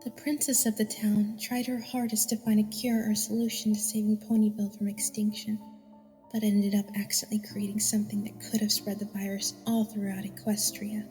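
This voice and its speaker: A woman's voice: mid-range voice, flat delivery, mellow, natural voice. A woman voicing a solemn young ghost filly telling a grim tale, in long reverb with music underneath. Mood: grave, explaining. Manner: solemn, measured storytelling.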